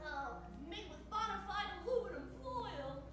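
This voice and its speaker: A woman's voice: very high voice, animated, unhurried, very noisy. A woman in improvised radio comedy, playing an eager, cutesy little girl sidekick. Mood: chirpy. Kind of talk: improvised old-time radio comedy acting. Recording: echoey, distant, loud music behind.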